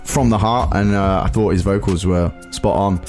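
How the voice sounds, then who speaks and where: A man's voice: low-pitched, moderate pace, a little mellow, soft background music. A man guesting on a radio show, giving enthusiastic feedback in a hesitant way, full of fillers. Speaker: studio guest, musician. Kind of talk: casual chat.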